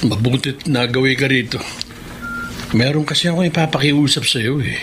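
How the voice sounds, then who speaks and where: A man's voice: mid-range voice, moderate pace, lightly acted. An actor playing a disapproving middle-aged father in a radio drama, stern but asking a favor. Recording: faintly noisy radio drama recording.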